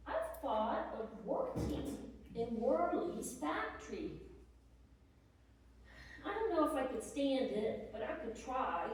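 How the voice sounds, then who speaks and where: A woman giving a plainspoken, deliberate dramatic reading of Civil War era letters. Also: high voice, a little mellow. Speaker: presenter reading historical letters aloud. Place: large room at a live presentation. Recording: echoey room, distant mic, faint noise.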